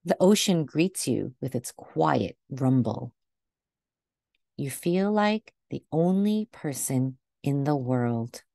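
A woman reading a picture book aloud to children in a gentle, deliberate way, recorded indoors over a video call. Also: a bass voice, lively intonation, vocal fry, no room sound, clean studio sound. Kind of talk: read-aloud storytelling for children.

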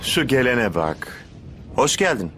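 A man's voice: medium pitch, sing-song, slow pace, noisy background. A man playing a haughty, scheming, mock-polite boss of an evil organization in a dubbed anime. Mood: smug, mocking. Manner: smug, mock-polite, commanding.

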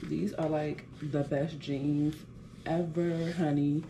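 A woman's voice: deep voice, flat delivery, takes it slowly, warm tone, a little hiss or hum, low-quality recording. A young woman from the United States talking casually to her phone camera for a vlog, chatty and upbeat, with lots of "like" and "um" fillers. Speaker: vlogger.

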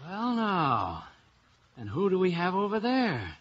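An actor playing a breezy, wisecracking American detective in an old-time radio drama. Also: male voice, high voice, wildly swooping pitch, slow pace, fairly bright tone, a little hiss or hum, full character voice. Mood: casual, breezy. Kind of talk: 1940s-50s radio detective acting and narration. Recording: dull old radio recording, music behind.

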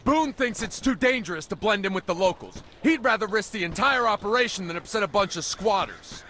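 A man playing a gruff, hot-headed resistance squad leader in a military shooter game, commanding, brusque and profane. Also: sits high, expressive, a little fast. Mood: curt, irritated. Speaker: video game character. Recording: loud gunfire and vehicle noise behind. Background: battle ambience, vehicles.

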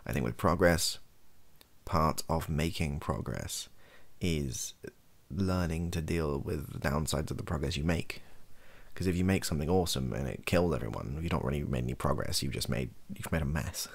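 British male, 30s, extremely low and croaky, soft ASMR delivery, loose self-deprecating ramble. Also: moderate pace. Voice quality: soft, gravelly, husky. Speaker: video host, ASMR creator. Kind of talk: improvised softly spoken ASMR ramble. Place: small bedroom office, indoors.

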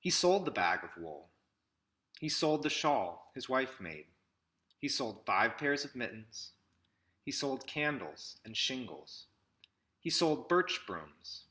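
A man in his thirties reads a storybook aloud in measured short phrases with pauses, recorded indoors at arm's length from the mic. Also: medium pitch, big pitch swings, bright, forceful tone. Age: 30s. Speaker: picture book reader. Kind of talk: read-aloud storybook narration. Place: small indoor room with classroom wall displays.

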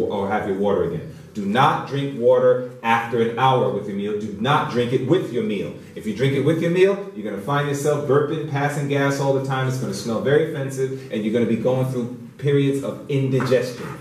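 A man's voice: medium pitch, far from the mic, a little hiss or hum. A middle-aged man giving an emphatic, instructive public health lecture, repeating key phrases and posing rhetorical questions. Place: indoor church hall, speaking to an audience. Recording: very echoey hall, picked up from afar.

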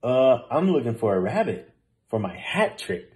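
A man's voice: medium pitch, big pitch swings, normal speed, darkish tone, close to an everyday voice. A man voicing a hapless, unsure male magician with an earnest manner, in a children's storybook. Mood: doubtful.

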